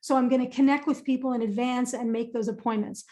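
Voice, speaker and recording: A woman's voice: on the high side, fairly even intonation, quick pace, mellow. A woman presenting a career webinar and answering audience questions in an upbeat, emphatic way, often repeating phrases for stress.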